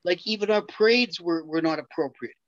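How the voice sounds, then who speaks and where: A man's voice: high-pitched, brisk, dry recording. An older man in a seniors group discussion, making an argumentative, emphatic point on a video call. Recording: dull, dry video call audio.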